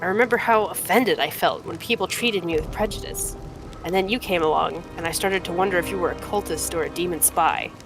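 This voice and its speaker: A woman voicing a frank, earnest young horned male fighter in a fantasy game, in a measured, conversational way. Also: lowish voice, over music, natural voice. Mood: earnest, apologetic. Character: earnest young horned mongrel man, frank, wry cave-dwelling fighter.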